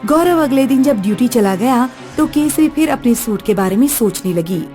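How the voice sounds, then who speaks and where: A woman's voice: a little high, expressive, normal speed, warm tone, soft background music. A woman narrating a scripted story as a storyteller.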